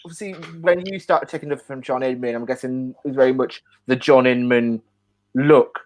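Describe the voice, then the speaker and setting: A man's voice: mid-range voice, fairly quick. A man hosting a casual panel chat, putting questions to guests informally. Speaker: host.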